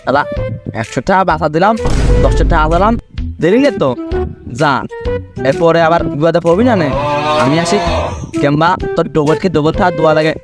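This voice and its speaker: A man's voice: fairly high pitch, a little fast, long pauses, over loud music. A man playing a chatty, cajoling village creditor in a comic skit, with a rural Bangladeshi accent and a voice-changer effect. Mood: brisk. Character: talkative village man chasing a small debt from friends. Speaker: comedy skit performer. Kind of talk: comic dialect skit dialogue.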